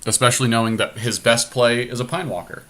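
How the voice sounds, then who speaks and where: A man's voice: mid-range voice, fast, fairly bright tone. A man hosting a podcast, thinking aloud through his options as he gives casual commentary on a card game he is playing.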